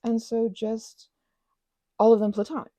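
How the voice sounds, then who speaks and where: A woman hosting a soft-spoken ASMR channel, chatting enthusiastically while she crafts, often trailing off and restarting. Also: fairly high pitch, completely flat delivery, unhurried, no room sound.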